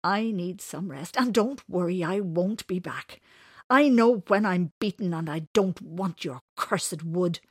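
A woman's voice: on the low side, lively intonation, measured pace, breathy edge, dry recording, clean studio sound. A woman voicing an angry, sulky old man in a storybook, grumbling and snapping. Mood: beaten, bitter.

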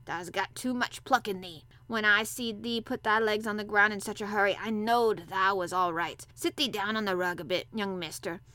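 A woman playing a crabbed, dry old gardener man with a Yorkshire accent, gruff and emphatic, in a storybook reading. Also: mid-range voice, expressive, moderate pace, pushed and bright, no room sound. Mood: dry, blunt. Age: older.

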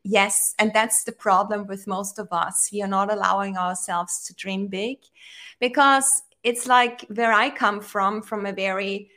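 Slow-speaking earnest Austrian-born woman. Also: medium pitch, steady tone, sharp tone. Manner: earnest, inspirational, slightly halting non-native phrasing. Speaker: podcast guest, brand strategist. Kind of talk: conversational interview.

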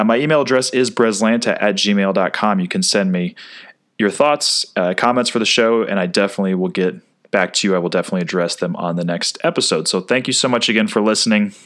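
A man in his early thirties with a lilt, talking through a casual solo podcast. His voice sits very low, and he rambles along with plenty of "uh"s and restarts. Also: quick pace, a bit bright. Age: 30s. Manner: chatty and rambling, with many "uh" fillers and restarts. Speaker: sports podcast host.

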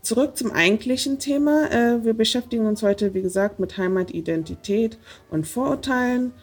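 A woman's voice: on the high side, normal speed, breathy edge. A woman hosting a casual podcast interview, talking with many hesitant fillers. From Germany. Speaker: podcast host.

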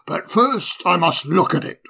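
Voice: mid-range voice, very animated, unhurried, gravelly, bright, forceful tone, slightly rough, exaggerated voice. A storyteller voicing a kindly, pompous old male owl professor who chuckles and stammers fondly. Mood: genial. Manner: chuckling, hesitant, fond of "my dear boy". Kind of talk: storybook reading.

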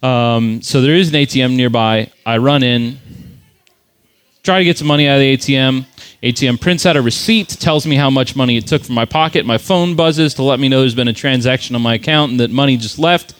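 A man's voice: mid-range voice, brisk, clean tone. Profane rambling man telling a story. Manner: rambling, profane, lots of "like" fillers. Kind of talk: casual storytelling chat.

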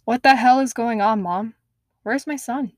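A woman's voice: on the high side, unhurried, no echo, clean studio sound, pitched above the performer's own voice. A woman voicing a bitter, distrustful young mother who talks in an angry, accusing way and swears. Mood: demanding.

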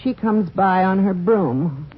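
A man's voice: sits high, slow pace, heavy background noise. A man playing a frail, henpecked lineman. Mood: deadpan. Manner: deadpan, mock-grand wisecracks. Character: sickly, henpecked male telephone lineman. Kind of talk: 1940s radio comedy sketch. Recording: lo-fi old radio broadcast, live audience.

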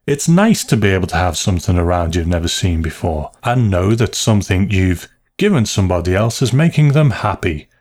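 A man voicing an anxious father in an audiobook story, forcing agreeable cheer with nervous, halting reassurance. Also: low-pitched, very animated, a little mellow. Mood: hasty reassurance.

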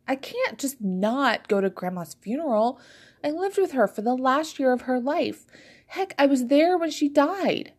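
A woman voicing a squeaky, soft-hearted, defensive sister in an audiobook story. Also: big pitch swings, normal speed, heavily acted. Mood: pleading.

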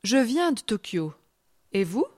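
A woman teacher reading slow, clear repetition drills for learners, with deliberate pronunciation and pauses. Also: on the high side, big pitch swings, darkish tone, no echo, clean studio sound. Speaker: language teacher. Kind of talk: slow scripted language drill.

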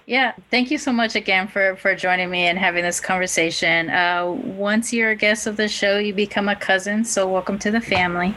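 Woman host, 30s, relaxed conversational interviewer with a bright, crisp tone. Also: mid-range voice, brisk, hardly any pauses, a little hiss or hum. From United States. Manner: easygoing, chatty, laughs along with guest. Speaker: podcast host. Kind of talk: casual interview chat.

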